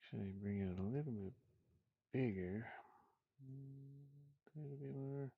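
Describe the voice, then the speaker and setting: A man's voice: mid-range voice, level tone, a little slow, breathy edge, no room sound, narrow, tinny audio. A man talking casually to himself as he draws, absent-minded, with playful sing-song asides. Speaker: game artist streaming a drawing session. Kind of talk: casual muttering while drawing.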